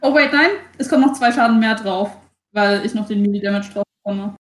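A woman's voice: mid-range voice, fairly quick, roomy sound. A woman playing a tabletop game, chatting casually and informally, dropping English slang into her speech.

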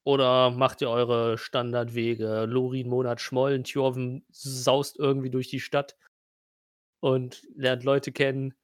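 A man, improvising colloquial game master narration in halting sentences. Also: medium pitch, completely flat delivery, no room sound, clean studio sound.

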